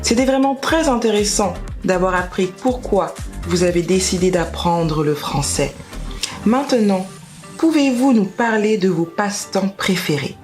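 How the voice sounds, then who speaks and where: A woman's voice: mid-range voice, lively intonation, a little slow, a little mellow. A woman hosting a podcast, reading prepared interview questions in a formal, welcoming way. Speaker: podcast host. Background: background music.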